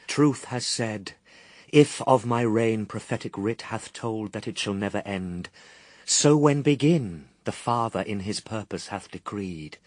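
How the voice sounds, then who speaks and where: A man's voice: on the low side, no echo. An actor playing the Son of God as a grave, patient and steadfast man, declaiming solemn verse in a radio drama. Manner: measured, declamatory, morally firm.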